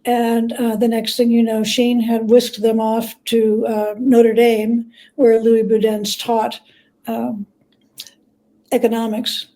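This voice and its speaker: A woman talking as an interview guest, telling stories in a halting, reflective way with repeated words. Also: fairly high pitch, little pitch movement, mellow. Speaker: guest author and biographer. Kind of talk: conversational interview storytelling.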